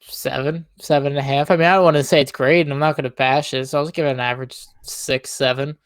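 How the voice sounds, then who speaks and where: A man co-hosting a casual pop-culture podcast, speaking in a laid-back, measured and noncommittal way. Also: medium pitch, fairly even intonation, no room sound, clean studio sound. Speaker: podcast co-host.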